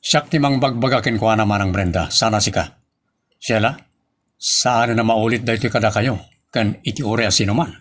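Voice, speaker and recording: A man's voice: fairly low pitch, moderate pace, a bit bright. A man with a Filipino accent playing a stern, authoritative office boss who scolds his staff in a radio-style drama. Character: stern male office boss, commanding and exasperated.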